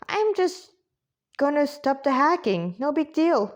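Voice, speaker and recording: A woman narrator plays a legendary android heroine with a fairly high voice. She is stern and curt, brushing people off with short, dismissive commands in a sci-fi story. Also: sing-song, measured pace, lo-fi sound.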